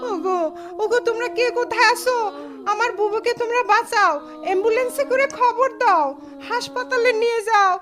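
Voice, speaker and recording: A high-pitched, devoted village woman in a radio play. She is humble, anxious and plaintive, with a rural Bangladeshi accent and melodramatic delivery. Music plays softly behind her. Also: fairly quick. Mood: panicked wailing. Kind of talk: Bengali radio drama acting.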